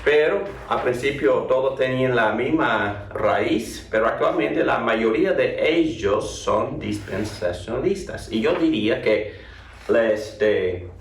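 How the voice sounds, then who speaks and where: Halting theology teacher, echoey classroom. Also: male voice, medium pitch, animated. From North Carolina, USA. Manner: halting, restarts words, repeats phrases. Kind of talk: classroom lecture with opening prayer. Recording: echoey room, voice from far off.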